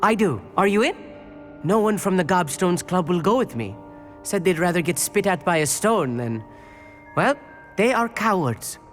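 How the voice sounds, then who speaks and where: Eager, bookish young student wizard, animated. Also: male voice, high voice, moderate pace, soft background music. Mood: eager, jittery. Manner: earnest, slightly pompous, chatty. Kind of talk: video game character acting.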